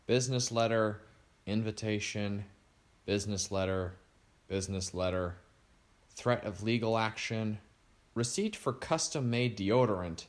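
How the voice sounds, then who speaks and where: A man's voice: lowish voice, unhurried, close to an everyday voice. A man reading aloud, voicing a dry, matter-of-fact young woman on a mystery team.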